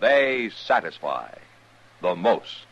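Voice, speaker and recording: An American man announces in dramatic mid-century radio style, with wide swings of pitch. Music plays prominently behind him on a muffled old recording. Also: big pitch swings, slow, breathy edge. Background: loud theme music.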